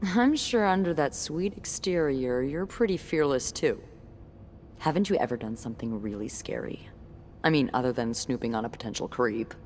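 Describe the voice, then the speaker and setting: A woman's voice: extremely low pitch, lively intonation, normal speed, darkish tone, a little hiss or hum. A woman acting a bold, playful, artistic hotel employee in a video game, with a wry, teasing and confident delivery.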